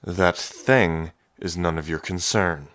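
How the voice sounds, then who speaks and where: Deep-voiced, cocky dragon prince, played by a man. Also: takes it slowly, fairly bright tone, dry recording, clean studio sound. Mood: defiant, protective. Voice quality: deep, low. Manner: smug, flirtatious, teasing, sweary. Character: cocky, teasing male dragon prince, protective big brother. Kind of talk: ASMR roleplay audio drama acting.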